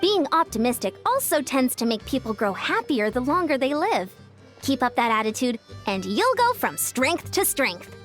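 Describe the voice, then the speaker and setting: A breezy, confident young female coach from a video game. Her high voice moves in big lively swings. Music plays underneath. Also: normal speed. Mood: easygoing. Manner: upbeat, easygoing, self-assured. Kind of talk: English video game voice acting.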